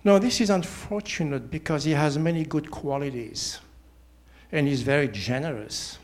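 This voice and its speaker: A man, giving a prepared personal testimony in a measured, deliberate way. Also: a little high. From Switzerland. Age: 70s. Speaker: church member giving testimony.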